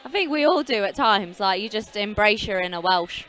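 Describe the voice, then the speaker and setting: A woman commentating roller derby, giving brisk play-by-play calls with dry humour. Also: medium pitch, animated, a bit bright. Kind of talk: casual live sports commentary.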